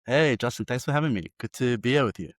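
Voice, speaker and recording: A man's voice: medium pitch, lively intonation, dry recording, clean studio sound. A South African man in his forties, telling stories in a casual interview with eager energy and frequent restarts. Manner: enthusiastic, fast storytelling with many restarts. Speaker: agency founder and CEO, guest.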